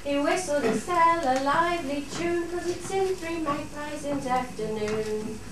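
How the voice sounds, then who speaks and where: Female ballad singer, Cumbrian dialect, high voice, strong echo, set back from the mic. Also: unhurried. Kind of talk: dialect folk ballad singing with refrain. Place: studio theatre. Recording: very echoey, distant, faint noise.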